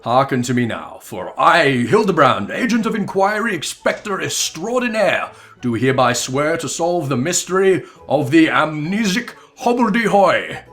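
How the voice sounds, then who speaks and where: A man voicing a pompous, oblivious gentleman detective from a video game in florid, theatrical declamation. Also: on the high side, sing-song, moderate pace, hardly any pauses, faint music behind. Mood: heroic. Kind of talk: dramatic reading of video game dialogue.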